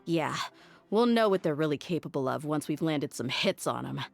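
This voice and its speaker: A tough female desert mercenary with a slightly low voice. She is blunt and self-assured, in a game cutscene with soft music behind her. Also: animated, normal speed, gravelly. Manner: blunt, confident. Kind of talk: game voice acting.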